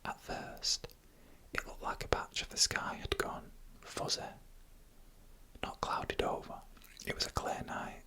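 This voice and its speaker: A narrator telling scary stories in a breathy ASMR whisper, hushed and deliberate, in short phrases close to the microphone. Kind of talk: whispered ASMR horror storytelling, scripted narration. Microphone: close microphone made for headphone listening.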